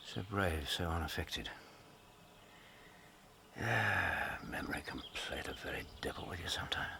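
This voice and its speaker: Brief: loyal British chronicler, very deep, bright-edged voice, measured and wistful, radio drama, faint noise. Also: male voice, expressive, vocal fry, heavily acted. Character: loyal, reflective, middle-aged gentleman companion and chronicler. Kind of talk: radio drama acting and narration.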